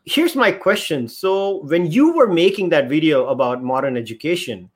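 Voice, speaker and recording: A man's voice: fairly high pitch, animated, moderate pace. A man with an Indian accent hosting a conversational podcast interview, circling around his questions in a rambling way. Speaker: podcast host.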